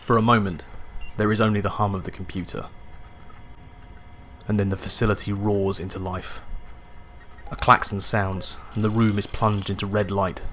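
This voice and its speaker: Guy with a lowish voice reading a scifi creepypasta, measured and dramatic, noisy atmospheric backing. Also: little pitch movement, a bit bright. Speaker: audiobook narrator. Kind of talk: scripted first-person sci-fi horror narration. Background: atmospheric music and sound effects.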